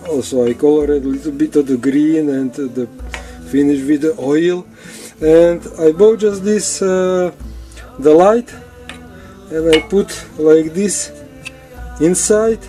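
A man who is not a native English speaker walks through his drill press in a home woodshop, in halting English full of fillers and restarts. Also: a little high, dark tone, soft background music. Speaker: woodworking hobbyist showing his drill press. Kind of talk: casual workshop walkthrough.

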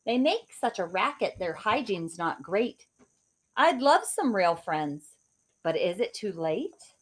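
A woman's voice: medium pitch, very animated, takes it slowly, slightly rough, full character voice. A woman voicing a lazy, lonely man who litters, in a storybook, speaking in a sulky grumble. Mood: grumbling.

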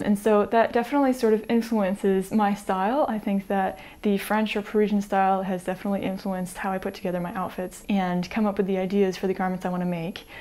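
An American woman in her thirties, chatting to camera in a small home room on a lapel mic, light and easygoing, with soft laughs between thoughts. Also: mid-range voice. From United States. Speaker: sewing vlogger. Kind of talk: casual chat to camera.

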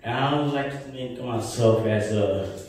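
A young man from Brooklyn, doing a slow, rambling open-mic stand-up set on a small club stage. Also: medium pitch, darkish tone. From Brooklyn, New York. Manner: slow, rambling delivery with many asides and repeated "right?". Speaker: stand-up comedian. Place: small club stage with tiled wall, indoors. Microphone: handheld mic, filmed by a far camera. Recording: echoey room from far off.

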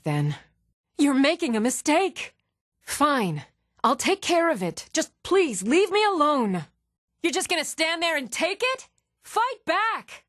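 An actress playing an even-toned, earnest young townswoman in a fantasy video game, with measured delivery. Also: sits high, dry recording, clean studio sound, heavily acted. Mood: weary, resigned, then taunting, rowdy. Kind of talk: video game character voice lines.

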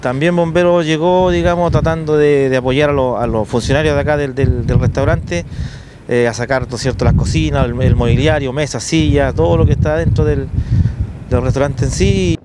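Chilean fire chief in his forties; loud, filler-heavy outdoor interview, strong background noise. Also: fairly high pitch, fairly even intonation, moderate pace. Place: outdoors by the coast.

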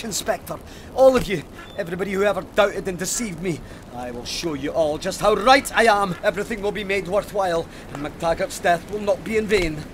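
An actor in an audio drama playing a stubborn, haunted police sergeant, intense, righteous and suspicious. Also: male voice, high voice, a little hiss or hum.

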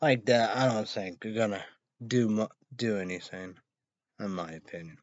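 A man in his thirties hosting a rambling solo online show, reading items out haltingly with repeated phrases and sudden loud outbursts. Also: fairly low pitch, no room sound. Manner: halting, spells words out, repeats phrases, bursts into loud exclamations. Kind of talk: rambling solo vlog reading weather and news headlines. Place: small dim room indoors. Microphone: webcam or laptop mic at arm's length. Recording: dull lo-fi webcam audio.

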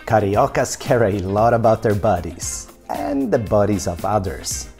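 A calm, measured voice-over narrator giving travel advice. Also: mid-range voice, vocal fry, faint music behind. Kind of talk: scripted narration.

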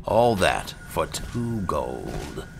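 Really low voiced guy musing over a game, bit of fry, noisy game ambience behind. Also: very animated, extremely slow. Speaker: gaming channel player narrating. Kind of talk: casual in-character game commentary.

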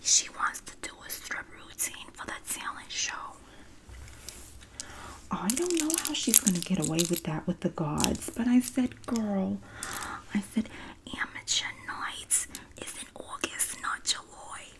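A woman's voice: mid-range voice, sing-song, low-quality recording. Whispering sassy prison diva played by a woman. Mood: sassy, gossipy. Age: young adult. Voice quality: breathy whisper. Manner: sassy, streetwise slang, drawn-out terms of endearment. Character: sassy female prison inmate diva, comforting bunkmate. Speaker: ASMR creator acting a roleplay. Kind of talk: whispered ASMR roleplay. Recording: close mic with clear background noise.

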